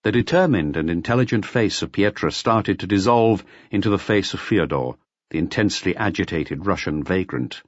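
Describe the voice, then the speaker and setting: A man narrating a literary audiobook in a measured, expressive storytelling manner. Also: low-pitched, no echo, highs cut off. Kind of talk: scripted literary narration of a detective novel.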